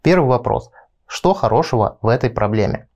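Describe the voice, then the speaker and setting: A man in his thirties speaks as a coach in an instructional video. His voice is mellow and relaxed, at a middling pitch. He explains step by step and talks straight to the viewer, recorded on a lapel mic in a small studio. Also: a little fast, gravelly. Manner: explanatory, step-by-step, direct address. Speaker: video blog host, coach and trainer. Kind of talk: instructional talk to camera, addressing the viewer. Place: small indoor studio with panelled backdrop.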